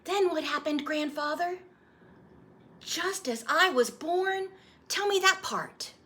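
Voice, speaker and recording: Woman voicing an eager, high-pitched little storybook boy. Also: slow, bright, forceful tone, slight background noise, heavily acted. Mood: eager, curious. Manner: pleading, insistent questions. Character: eager young boy, earnest and questioning. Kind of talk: storybook read-aloud.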